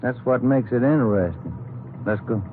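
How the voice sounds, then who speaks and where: An actor playing a plain-spoken police detective partner, talking in clipped, casual cop talk in an old radio drama. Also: male voice, mid-range voice, normal speed, natural voice. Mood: thoughtful. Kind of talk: old-time radio crime drama acting. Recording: noisy, muffled old radio recording.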